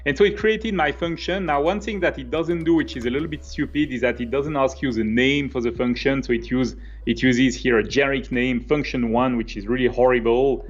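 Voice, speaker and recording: A man's voice: mid-range voice, brisk, hardly any pauses, dull audio. Swiss French man explaining code live. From Switzerland. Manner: explains step by step, relaxed and conversational. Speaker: co-host, developer presenter. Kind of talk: live coding stream with commentary. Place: home office, indoors. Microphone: webcam video call.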